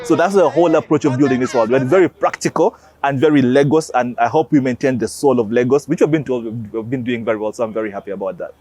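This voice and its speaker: A man's voice: medium pitch, fast talker, few pauses, music in the background. A man giving emphatic, explanatory interview answers as an animation creator.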